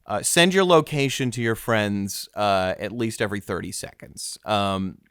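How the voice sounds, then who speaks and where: A man's voice: fairly low pitch, unhurried, fairly bright tone, smooth, dry recording, clean studio sound. A man hosting a mock-serious comedy interview, hesitant and stammering, sounding awkwardly earnest. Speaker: podcast host.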